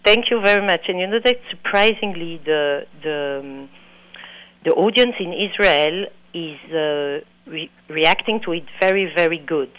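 A woman's voice: on the low side, unhurried, a bit bright, dry recording, rough recording. Belgian-accented woman in a dry studio sound. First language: French. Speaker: interview guest, documentary filmmaker. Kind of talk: radio interview.

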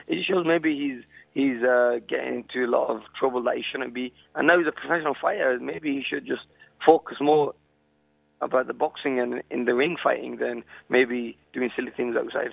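A man in his twenties, a professional boxer, answering interview questions over the phone in a halting way, often saying "you know" and "I mean.". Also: mid-range voice, fairly even intonation, gravelly, slightly rough, no room sound. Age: 20s. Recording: tinny dry phone line.

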